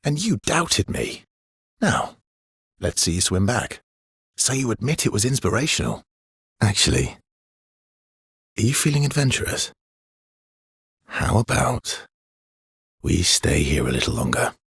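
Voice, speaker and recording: An actor playing a brooding, gently teasing, guilt-worn man in a video game, with a husky, gravelly low voice and halting, understated delivery. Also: sing-song, no room sound, clean studio sound, full character voice. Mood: playful. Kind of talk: naturalistic video game character dialogue.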